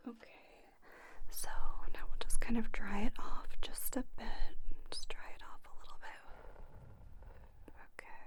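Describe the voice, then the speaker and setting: A woman doing a whispered ASMR roleplay as a spa owner, gently explaining each step to a trainee. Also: medium pitch, husky. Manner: gentle, instructional, step-by-step narration.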